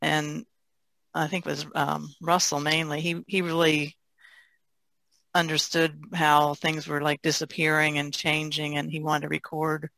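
Gravelly woman in casual, even-toned talk. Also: low voice, a little slow, no room sound. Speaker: artist, podcast guest. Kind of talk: casual discussion.

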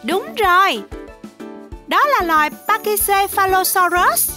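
A woman's voice: very high voice, big pitch swings, slow pace, sharp tone. A woman narrating a children's cartoon, exclaiming and putting questions to young viewers. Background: children's music.

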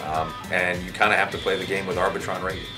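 Fast, very deep-voiced man. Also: flat delivery. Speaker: radio station programmer. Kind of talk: documentary interview, explanatory. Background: guitar music.

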